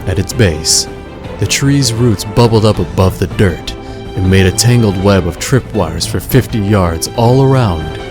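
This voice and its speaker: A man narrating a scripted story in a measured, suspenseful, dramatic style. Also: fairly low pitch, lively intonation. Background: loud music.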